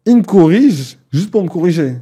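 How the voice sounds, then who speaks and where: Homme jeune, voix sombre et voilée, invité animé. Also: male voice, sits high, fairly quick, husky. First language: not French. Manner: animated, laughs often, restarts phrases. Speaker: guest, content creator. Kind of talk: casual podcast conversation.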